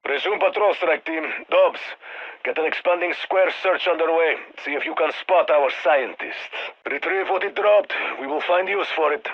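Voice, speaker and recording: A man playing a gruff Russian squad commander in a video game, giving orders with dry, wry asides. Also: a little high, normal speed, muffled recording. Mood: commanding, urgent. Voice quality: gravelly, husky. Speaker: mission commander giving radio briefings. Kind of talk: scripted video game voice lines. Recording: band-limited military radio sound.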